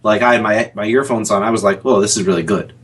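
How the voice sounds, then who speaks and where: A man with a husky voice, chatting casually as a guest on a podcast. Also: fairly low pitch, fairly even intonation, quick pace, a bit bright. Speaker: podcast guest, audio drama crew member. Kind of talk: casual interview chat.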